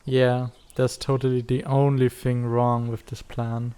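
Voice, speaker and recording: A laid-back teenage schoolboy acted by a man in a comic drama. His voice is soft-edged and dark, and his delivery stays fairly level. He sounds dry and sarcastic, a skeptic who still cares. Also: medium pitch, level tone, measured pace, clear, resonant tone, lightly acted. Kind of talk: fan dub comic drama acting.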